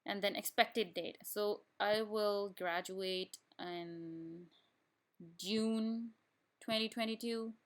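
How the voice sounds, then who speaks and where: A young woman, a student from Pakistan whose first language is Urdu, talks the listener through filling in an online form. Her pitch sits in a middle range. She explains each field in plain, step-by-step instructions and often restarts her sentences. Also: steady tone, slow. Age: young adult. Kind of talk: screen-recorded tutorial walkthrough.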